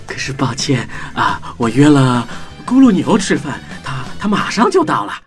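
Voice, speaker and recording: A man's voice: medium pitch, big pitch swings, moderate pace, faint music behind, close to an everyday voice. A man playing a small, cunning, stammering mouse in a children's audio drama, with exaggerated delivery. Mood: nervous, polite. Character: small clever timid-seeming mouse, cunning bluffer.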